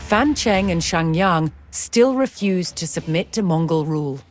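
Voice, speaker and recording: A woman giving measured, formal scripted narration in the style of a historical documentary for a game. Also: fairly low pitch, expressive. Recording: music behind the voice. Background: background music.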